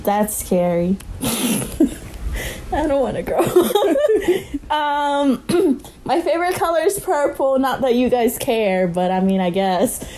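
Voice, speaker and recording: A woman's voice: a little high, very animated, takes it slowly, long pauses, warm tone. A young woman from Kentucky, co-hosting a casual podcast, rambling with fillers and giggles. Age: young adult. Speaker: podcast co-host. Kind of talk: casual chat.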